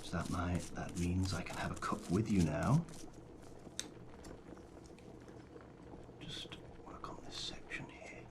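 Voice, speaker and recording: A man playing a genial, gentle paleontologist in an ASMR roleplay, speaking softly, often in a whisper, with an unhurried, hesitant and gently delighted manner. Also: low voice, vocal fry. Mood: hushed, careful. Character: genial, gentle male paleontologist guiding a trainee.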